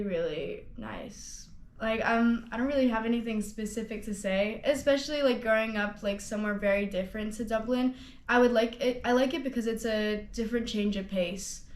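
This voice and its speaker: A nineteen-year-old woman from Hong Kong, a student ambassador, chatting casually about her studies with many "like"s and restarts. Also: medium pitch. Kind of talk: casual testimonial interview. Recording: roomy echo.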